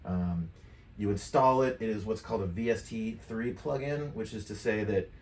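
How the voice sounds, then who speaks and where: A middle-aged man giving a casual step-by-step software tutorial, thinking aloud as he works. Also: fairly low pitch, normal speed. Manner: informal, thinking aloud while clicking. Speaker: tutorial presenter. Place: indoors, home studio room at a computer desk. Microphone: USB microphone at some distance from the mouth. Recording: echoey room with clear background noise.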